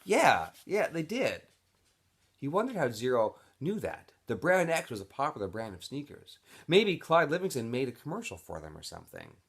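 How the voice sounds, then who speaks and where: A man's voice: mid-range voice, fairly quick, hardly any pauses, husky. A man reading a children's novel aloud expressively, like a teacher reading to students. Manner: expressive, switches into character voices.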